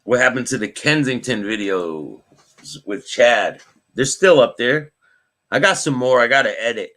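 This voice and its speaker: A man with a raspy voice hosting a live stream. He reads news aloud and chats with viewers by name. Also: mid-range voice, expressive, unhurried, a bit bright. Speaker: host.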